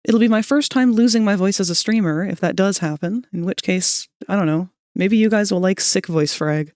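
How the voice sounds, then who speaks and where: A woman's voice: medium pitch, normal speed, a little mellow, no echo, studio quality. Chatty woman streamer with a scratchy voice. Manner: chatty, greets viewers, laughs and clears throat often. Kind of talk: casual stream chat. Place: cold room at home.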